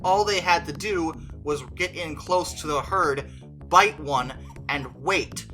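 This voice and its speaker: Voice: medium pitch, slow pace, pushed and bright, faint music behind, poor audio. A video creator giving an opinionated, conversational movie review, stretching stressed words for emphasis.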